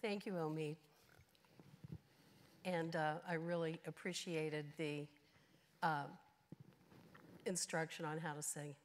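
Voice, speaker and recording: A woman in her sixties moderating a panel on a large stage, halting with many fillers. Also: low-pitched, fairly even intonation, noisy background. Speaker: session moderator.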